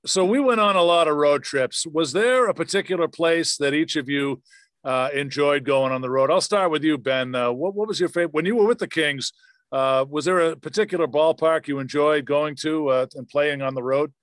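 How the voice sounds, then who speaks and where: A man's voice: on the high side, moderate pace, a bit bright, dry recording. A man hosting a casual, conversational interview, asking questions and reminiscing. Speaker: host.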